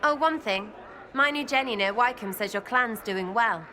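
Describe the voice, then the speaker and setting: A British actress playing a cheeky, blunt young elf rogue in a fantasy game, talking in clipped, irreverent slang. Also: female voice, a little high, moderate pace, sharp tone, a little hiss or hum. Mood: earnest, gentle. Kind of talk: video game dialogue acting.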